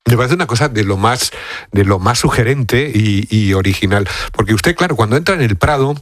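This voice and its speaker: A man's voice: fairly low pitch, animated, a little fast, dry recording, clean studio sound. A man with a Castilian Spanish accent hosting a conversational radio interview. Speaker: radio host interviewing a guest.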